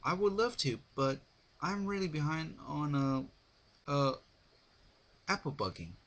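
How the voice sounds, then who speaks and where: A man's voice: fairly high pitch, lively intonation, unhurried, a bit bright, voice pushed up. Man doing a folksy female cartoon farm pony. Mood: friendly, apologetic.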